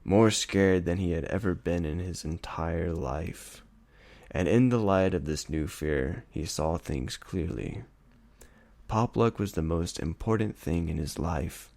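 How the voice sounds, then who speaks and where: A man's voice: low voice, a little slow, gravelly, darkish tone, dry recording. A man reading a story aloud as dramatic scripted narration. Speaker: audiobook reader.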